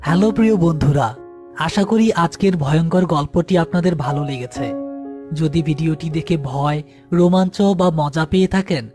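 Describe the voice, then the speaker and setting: A man reading scripted narration for a horror story as narrator and channel host. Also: a little high.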